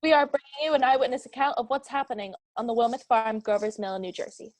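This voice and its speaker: A woman's voice: fairly high pitch, quick pace, music in the background, lightly acted. A young woman playing a composed studio announcer, reading formal bulletins in a radio play. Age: young adult. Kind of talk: formal scripted broadcast announcement. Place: plain room at home.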